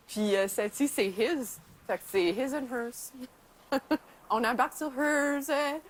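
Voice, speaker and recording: A woman's voice: high voice, slow pace, rough recording. A middle-aged woman from Northern Ontario, chatting in a documentary interview and laughing often. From Sudbury, Northern Ontario, Canada. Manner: chatty, laughs often, uses many fillers. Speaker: mountaineer interviewee. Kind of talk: documentary interview, casual recollection.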